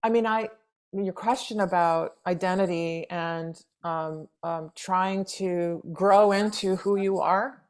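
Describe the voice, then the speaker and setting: A woman's voice: fairly low pitch, steady tone, takes it slowly, darkish tone, clean tone. A woman in her fifties, a playwright raised in the United States, talking through her ideas in an interview with many restarts and repeated words. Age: 50s. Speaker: playwright, guest. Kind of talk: reflective interview conversation.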